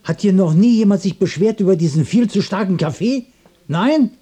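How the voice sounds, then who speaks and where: An actor playing a distraught, irritable loner in a radio drama, musing in broken repetitions and turning cranky. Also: male voice, high-pitched, moderate pace, mellow, husky. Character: distraught, irritable, restless loner adrift in the world. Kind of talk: literary radio drama monologue and dialogue.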